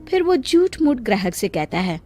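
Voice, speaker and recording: A woman's voice: a little high, big pitch swings, normal speed, darkish tone, no room sound, soft background music. A woman storyteller narrating a scripted tale for children.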